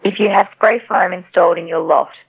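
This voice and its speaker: A woman's synthetic telemarketing voice on a narrow phone line, politely and persistently reading a scripted sales pitch. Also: fairly even intonation, a little fast, creaky, husky. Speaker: AI robocall telemarketer.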